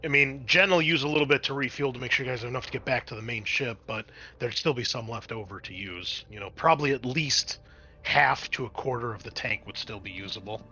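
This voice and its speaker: Male game master, bright forward voice, conversational and explanatory, improvising narration for a tabletop roleplaying session. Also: medium pitch, fairly quick.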